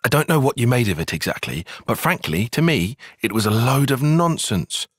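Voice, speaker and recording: A young tactician for a video game, dryly critical and analytical. His voice has a slightly husky edge and a lively, shifting pitch. The diction is precise and formal, and the sound is dry and close. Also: moderate pace, no room sound. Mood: dry, measured. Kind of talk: video game cutscene voice acting.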